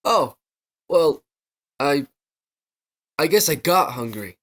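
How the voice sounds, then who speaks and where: A man's voice: fairly high pitch, very animated, slow, a bit bright, exaggerated voice. A young man playing a wisecracking, evasive American teenage son in a 1950s radio sitcom, offhand, slangy and defensive.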